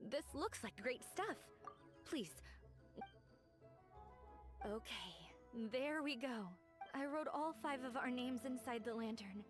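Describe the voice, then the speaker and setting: A gentle young woman for a video game, sincere and earnest. The voice is a little high and unhurried, with faint music behind. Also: lively intonation. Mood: grateful, wistful.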